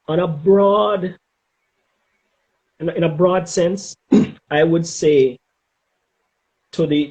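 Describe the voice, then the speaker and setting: A middle-aged man with a Jamaican accent giving advice in an interview, speaking emphatically and deliberately and often checking in with "okay?". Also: on the high side, darkish tone, rough recording. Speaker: interview guest, former air traffic controller.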